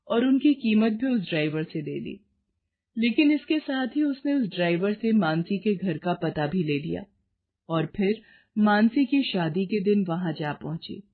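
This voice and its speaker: A woman's voice: lowish voice, expressive, unhurried, phone-quality sound. A woman narrator reading a scripted moral story.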